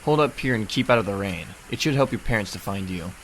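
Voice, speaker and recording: A man acting a weary, laid-back and mildly wry schoolteacher in a fan dub of a game, with a low-key, sighing delivery. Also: animated, fast talker, slight background noise, natural voice, poor audio. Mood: mildly puzzled.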